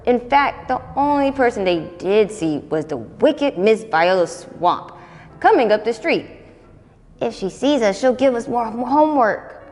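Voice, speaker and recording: Animated young woman reading a storybook. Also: medium pitch, big-room sound. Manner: expressive, animated read-aloud voice. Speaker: volunteer storybook reader. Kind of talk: reading a children's picture book aloud.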